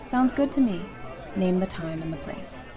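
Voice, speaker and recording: A woman acting a brisk, casual woman leader in an audio drama. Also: fairly low pitch, lively intonation, music in the background, crowd noise, close to an everyday voice. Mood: playful. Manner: casual, direct.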